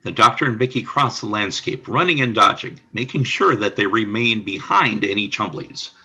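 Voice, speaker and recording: A man's voice: fairly low pitch, lively intonation, moderate pace, sharp tone, breathy edge, highs cut off. A man reading scripted scene narration for a radio play, describing the action in the present tense.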